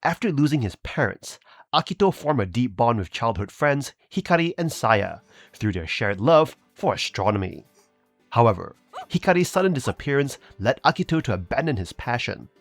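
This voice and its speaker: A man hosting a review video, reading a scripted narration in a polished, measured presenter's delivery. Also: medium pitch, very animated, no room sound, faint music behind.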